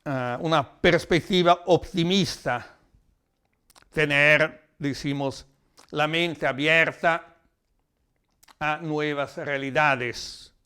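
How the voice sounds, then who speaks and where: A man with an Italian accent giving a reflective, explanatory talk in a language that is not his native one, sometimes halting as he searches for words. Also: on the high side, pushed and bright, clear, resonant tone. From Italy. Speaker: lecturer.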